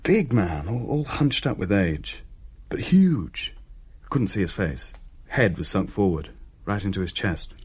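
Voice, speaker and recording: A man's voice: lowish voice, sing-song, a little slow, darkish tone, breathy edge, exaggerated voice. An actor playing a young man who tells of things in a hesitant, descriptive way in a naturalistic radio play. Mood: haunted, awed. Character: uneasy younger man recounting a ghost sighting.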